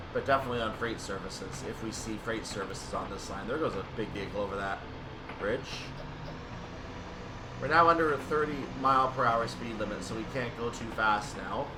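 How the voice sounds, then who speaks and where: A man with a mid-pitched voice narrates a game in a casual, explanatory way. He thinks aloud as he plays. A clear layer of ambient noise and rumble sits behind his voice. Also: fairly quick. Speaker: gaming video creator narrating train simulator play. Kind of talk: casual gameplay commentary.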